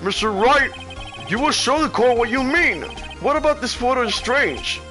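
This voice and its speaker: Casting: man playing flustered game judge, high-pitched, swooping declamatory delivery, music underneath. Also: expressive, normal speed, pushed and bright, heavily acted. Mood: puzzled. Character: flustered, excitable male judge presiding over a courtroom. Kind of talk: video game voice-over reading.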